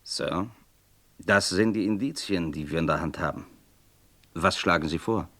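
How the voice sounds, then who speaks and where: A man's voice: sits low, measured pace, bright, forceful tone, dry recording, heavily acted. A man playing a probing, businesslike police inspector in a German radio crime drama, questioning insistently in clipped phrases. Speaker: actor.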